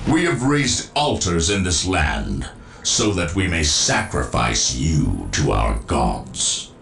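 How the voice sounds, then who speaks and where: A dark-fantasy game villain, a zealous armored warlord with a gravelly voice that rises and falls dramatically. He preaches doom with grandiose menace, echoing over music. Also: sits low, very animated, full character voice. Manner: grandiose, preaching, slow and deliberate. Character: zealous, menacing Chaos Space Marine lord and demagogue. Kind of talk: theatrical video game villain speech.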